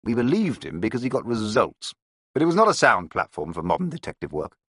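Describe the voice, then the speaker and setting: A skeptical police inspector who picks apart claims in a measured, critical tone, as a man reads him aloud. His voice sits only a little above the reader's own. The sound is close and dry. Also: medium pitch, wildly swooping pitch, a bit bright, breathy edge, dry recording, pitched above the performer's own voice, exaggerated voice.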